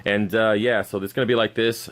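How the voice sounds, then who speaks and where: A man's voice: fairly low pitch, level tone, a little fast. A worn-out man who is losing his voice and whose throat is going scratchy. He hosts his aquarium channel with a loose, rambling, unscripted update full of fillers and restarts, recorded on a camera mic as he walks around his cluttered home fish room. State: exhausted. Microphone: camera mic while walking around.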